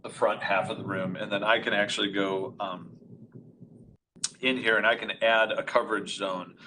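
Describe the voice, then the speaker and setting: A man with a loud, carrying voice presenting a webinar, walking through a live product demonstration in a casual, explanatory way from a meeting room. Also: fairly low pitch, fairly even intonation, normal speed, noisy background. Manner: informal, explanatory. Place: meeting room with reflective walls. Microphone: conference room array microphone.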